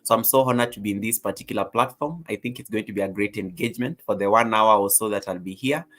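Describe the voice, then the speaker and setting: A middle-aged man with a Kenyan accent speaks in a fairly low voice. He talks casually as a guest on a livestream panel. His delivery is chatty, with playful asides. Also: fast, fairly bright tone, soft background music. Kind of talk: casual self-introduction on a livestream.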